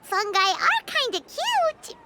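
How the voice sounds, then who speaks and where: Very squeaky little fairy companion. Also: female voice, big pitch swings, takes it slowly, heavy background noise, exaggerated voice. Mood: curious, chatty. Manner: squeaky, exclaiming, talks about self in third person. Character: small excitable childlike floating fairy companion, female. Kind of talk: video game character acting. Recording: background music under the voice.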